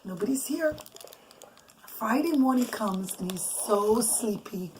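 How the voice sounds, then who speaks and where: A mother telling an informal, improvised religious bedtime story in an animated, conversational way, mixing in Hebrew and Yiddish terms. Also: on the high side, a little slow, rough recording. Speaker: mother telling bedtime stories to children and listeners. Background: noise, clicks, small children nearby.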